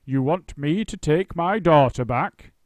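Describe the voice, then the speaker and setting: A man voicing a pompous, gruff older male stage actor who booms dramatically. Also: lively intonation, normal speed, a little mellow, no room sound, lightly acted. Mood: booming.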